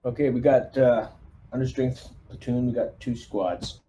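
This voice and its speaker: A man's voice: mid-range voice, a little slow, dark tone, slight background noise, low-quality recording. A man playing a squad leader in a tabletop game, chatting casually in and out of character. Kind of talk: casual in-character roleplay chat.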